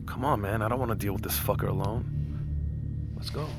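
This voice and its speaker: A man's voice: lowish voice, moderate pace, background noise, natural voice. An actor playing a blunt, foul-mouthed, hard-nosed male survivor in an audio drama. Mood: impatient. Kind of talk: dramatic audio fiction acting. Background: music.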